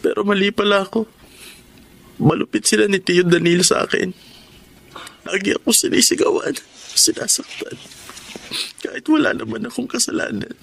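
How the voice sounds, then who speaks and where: A man's voice: sits high, lively intonation, normal speed, creaky, long pauses, fairly bright tone, heavily acted. A Filipino man playing a meek, mistreated young son in a radio drama, timid, polite and hesitant. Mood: sorrowful.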